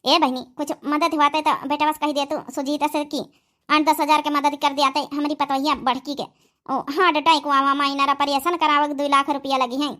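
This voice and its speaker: A woman's voice: high voice, flat delivery, fairly quick, sharp tone. A woman with an Awadhi accent playing a practical, concerned village mother-in-law in a cartoon, homely and matter-of-fact. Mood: worried, asking help. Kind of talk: cartoon comedy dialogue.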